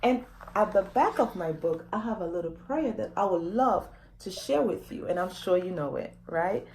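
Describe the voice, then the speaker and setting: A woman's voice: mid-range voice, expressive, normal speed, a little mellow, slight background noise, low-quality recording. A woman reading her children's book aloud in a dramatic storytime style, often putting questions to young listeners.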